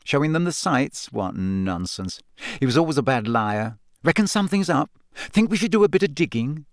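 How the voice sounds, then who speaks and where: A man's voice: on the high side, very animated, normal speed, dry recording, clean studio sound. A man voicing a gossipy, smug, predatory woman reporter in a storybook, with a drawling, insinuating manner. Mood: smug, conspiratorial.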